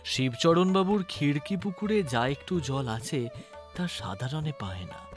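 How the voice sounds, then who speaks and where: A man's voice: a little high, expressive, unhurried, over music. A man narrating a scripted story in a dramatic style.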